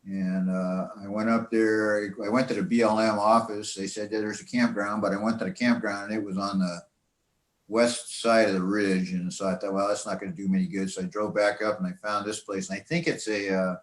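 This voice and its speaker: An older American man with a low-pitched voice talks through a slideshow in a roomy, echoing recording. His delivery is informal and conversational, wandering through memories with halting pauses and asides. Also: flat delivery. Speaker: speaker presenting to a radio club. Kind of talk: informal slideshow talk, reminiscing. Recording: echoey, roomy sound.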